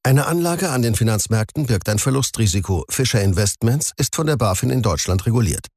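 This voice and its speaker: A man's voice: mid-range voice, expressive, brisk, darkish tone, slightly rough, no echo, clean studio sound. A man reading a scripted advertisement as a voice-over narrator.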